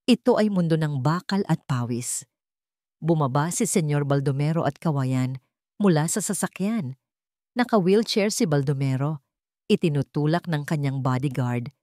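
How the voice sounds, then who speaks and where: A woman's voice: low voice, animated, normal speed, warm tone, dry recording, studio quality. A woman reading a scripted, dramatic story as its narrator.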